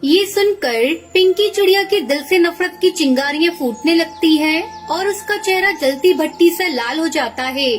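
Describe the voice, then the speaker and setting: A woman narrates a scripted children's tale. Her voice is extremely high. Faint music plays behind her. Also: expressive, normal speed, hardly any pauses. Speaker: story narrator.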